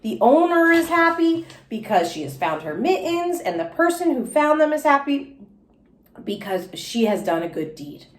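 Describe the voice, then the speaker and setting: A woman's voice: high voice, big pitch swings, normal speed, slight background noise, voice pushed up. A woman voicing a kindly male school principal from a storybook, explaining patiently and reassuringly to a child. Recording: echoey room.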